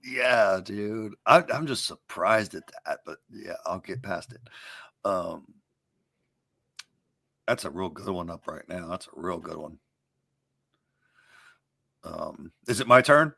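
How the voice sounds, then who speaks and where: A man guesting on a casual live stream, excitable and incredulous, his voice slightly worn from talking all day. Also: deep voice, slow pace, vocal fry, pauses often, husky, no room sound, studio quality. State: losing his voice.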